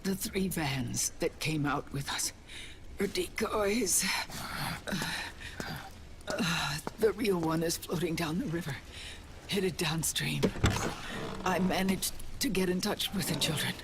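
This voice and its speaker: Worried woman ally in a game, slow and soft, with noise in the mix. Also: fairly low pitch. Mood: urgent. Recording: noisy game audio mix.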